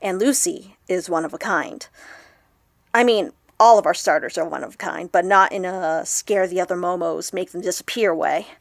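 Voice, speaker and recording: A woman playing an awkward, excitable young woman vlogger in an audio drama, chatty and expressive. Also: lowish voice, moderate pace, creaky, no echo, studio quality. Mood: puzzled, defensive. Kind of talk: audio drama acted as a confessional vlog.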